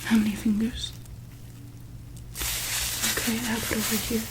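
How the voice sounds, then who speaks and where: A woman speaking softly and breathily, near a whisper, with gentle hesitant pauses, playing a calm medic in an ASMR roleplay. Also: fairly high pitch. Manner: hesitant, gentle, pausing often mid-sentence. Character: calm, attentive female medic examining a monster patient. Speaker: ASMR performer playing a monster hospital medic. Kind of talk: soft-spoken ASMR roleplay. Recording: noticeable background noise.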